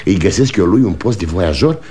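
Casting: stubborn, blustering old salesman father, rambling, highly animated pitch, 1960 radio-play delivery. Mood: musing, hopeful. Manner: agitated, blustering, rambling with repeats and interjections. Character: aging salesman father, proud, stubborn, self-contradicting, muttering. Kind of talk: 1960 Romanian radio theatre acting.

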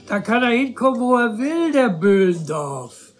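An actor playing a pompous, chatty provincial gentleman in a German radio drama, effusive and rhetorical in manner. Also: extremely high pitch, big pitch swings, exaggerated voice. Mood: scornful. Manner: effusive, rhetorical, with drawn-out pauses.

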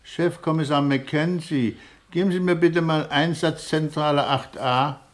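An actor playing an excitable, self-assured chief police commissioner in a German radio play, with a fussy, officious manner. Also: male voice, a little high, unhurried, natural voice.

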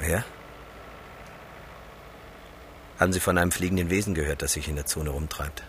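An actor playing a gruff, cynical treasure hunter in a radio drama, with a brusque, dismissive manner. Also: male voice, low-pitched, fairly even intonation, rapid-fire, fairly bright tone, a little hiss or hum. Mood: curt, probing.

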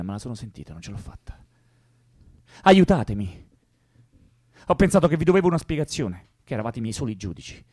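Anguished idealist revolutionary, young man, husky and highly animated, close dry radio-drama sound. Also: dry recording. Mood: pleading, defiant. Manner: fervent, pleading. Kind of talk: theatrical radio drama acting.

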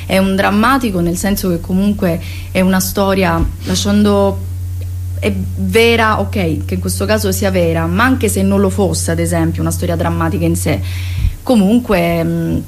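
A woman's voice: mid-range voice, fairly even intonation, moderate pace, faint music behind. A woman, a stage actress, talking spontaneously in a radio interview, correcting herself and digressing as she goes.

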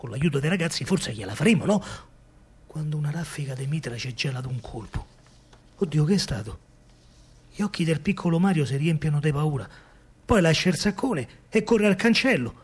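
An actor playing a coaxing, protective working-class man of wartime Rome, narrating colloquially in a husky voice with a Roman accent, as in a radio drama. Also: on the high side, fairly quick. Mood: tense, alarmed. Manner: colloquial, animated storytelling. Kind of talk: dramatic first-person radio monologue in dialect.

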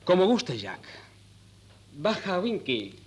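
A man's voice: sits high, big pitch swings, a little mellow, husky, full character voice. Suave, self-assured young man. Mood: mocking, composed. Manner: suave, measured, condescending. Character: smooth, self-assured, mocking young male rival. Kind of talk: 1970s Spanish radio play acting.

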